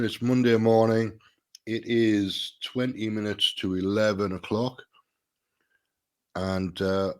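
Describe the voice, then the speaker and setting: Congested man with a nasal, lowish voice. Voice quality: slightly nasal, deeper than usual. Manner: rambling, thinks aloud with restarts. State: stuffy nose from a sinus problem. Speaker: live stream host. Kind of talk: casual solo live stream talk.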